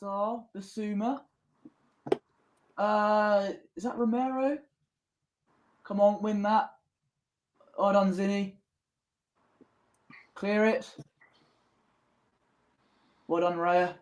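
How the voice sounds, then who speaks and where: A young man co-commentating on a football match as a fan, excitable and quick to laugh, stretching out his exclamations. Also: sits high. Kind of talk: casual watchalong co-commentary.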